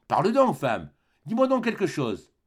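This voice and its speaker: A man's voice: fairly high pitch, animated, normal speed, gravelly, breathy edge, heavily acted. A man playing a poor, exasperated young peasant husband who rants querulously in an audiobook story. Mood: exasperated grumbling. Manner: querulous, ranting in rhetorical questions.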